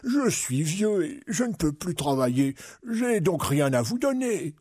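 A poor, fearful old villager from a storybook, as a man plays him. He pleads meekly in a raised, husky voice. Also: on the high side, very animated, unhurried, darkish tone, no room sound, studio quality, pitched above the performer's own voice, exaggerated voice. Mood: pleading. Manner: pleading, meek. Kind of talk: storybook character voice.

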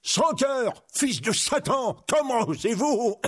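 A small, soft-hearted mole monk from a storybook, played by a man. The voice sits high and has a slightly husky grain, earnest and devoted in its delivery. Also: very animated, normal speed, exaggerated voice. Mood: outraged. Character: gentle little mole-like monk, pious and devoted. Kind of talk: storybook character voice.

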